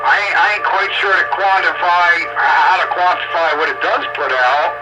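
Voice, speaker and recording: A man's voice: on the high side, steady tone, normal speed, very noisy. A man in a casual technical radio chat, rambling and thinking aloud as he repeats himself over a radio transmission. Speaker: amateur radio operator.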